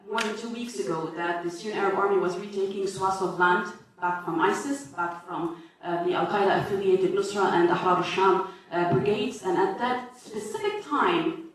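A woman from Lebanon with a Lebanese accent presenting an academic paper, halting with many fillers and self-corrections. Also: mid-range voice, a little hiss or hum. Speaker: conference speaker. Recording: echoey hall from far off.

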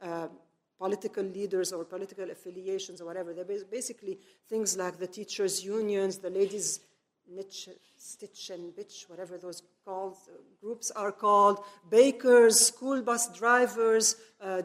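Female lecturer, middle-aged, from Lebanon, medium pitch, emphatic and explanatory delivery to an audience. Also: fairly even intonation, a little slow. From grew up in Lebanon. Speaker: speaker, activist lecturer. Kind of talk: public lecture, semi-improvised.

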